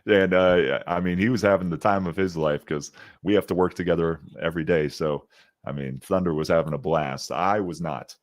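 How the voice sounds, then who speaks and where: Guest spot: young man, deep gravelly voice, very low pitch, upbeat and quick to laugh, dry close sound. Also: dry recording. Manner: laughs often, upbeat storytelling. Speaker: guest, ring announcer. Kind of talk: casual interview chat.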